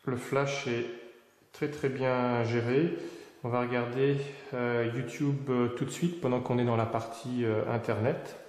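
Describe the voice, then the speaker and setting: A man demonstrates a tech product off the cuff. He hesitates and pauses mid-sentence, with an ordinary mid-pitched voice. The room is echoey and adds some reverb. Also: level tone, a little mellow, a little hiss or hum. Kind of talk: unscripted product demonstration commentary.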